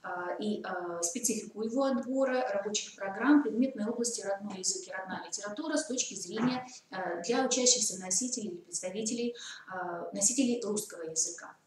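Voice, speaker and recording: A woman giving a formal lecture at a seminar, often pausing with hesitant fillers. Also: mid-range voice, darkish tone. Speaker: seminar speaker. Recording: echoey room, mic far off, faint noise.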